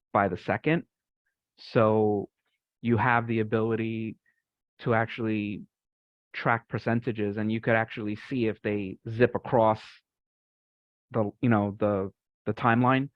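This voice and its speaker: A man's voice: lowish voice, no room sound. A man casually explaining something technical on a group call, with a polite, tentative manner. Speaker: participant in a software community call. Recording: dull, band-limited online call audio.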